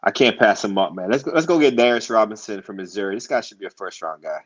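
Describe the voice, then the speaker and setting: A man's voice: fairly low pitch, animated, fast, a bit bright, dull audio. A man co-hosting a draft show, chatting casually and thinking aloud as he makes his picks. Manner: laid-back, hesitant, thinking aloud. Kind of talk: casual mock draft chat.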